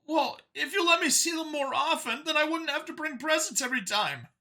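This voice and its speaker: Man acting an alien father, high-pitched, strained, hesitant, eager to please, swooping intonation, sci-fi story. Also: lively intonation, pushed and bright, full character voice. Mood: defensive, pleading. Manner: halting, hesitant, gushing and apologetic by turns. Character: alien howler father, anxious, eager, pleading on a call.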